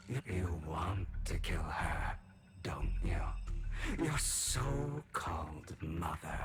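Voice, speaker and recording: A man's voice: sits low, heavily acted. A man voicing a sinister, insinuating inner self that tempts toward vengeance in a dramatic video game. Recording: loud music behind the voice.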